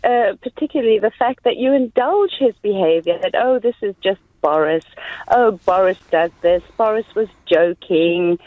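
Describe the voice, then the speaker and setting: A woman's voice: fairly high pitch, dry recording. A woman from South Africa calling a radio phone-in to give her opinion earnestly, over a muffled phone line. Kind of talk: conversational opinion giving.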